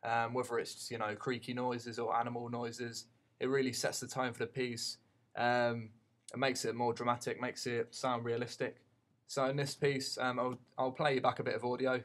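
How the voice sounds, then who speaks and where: A man giving an unscripted tutorial as a teacher, haltingly thinking aloud as he goes. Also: medium pitch, flat delivery, a bit bright.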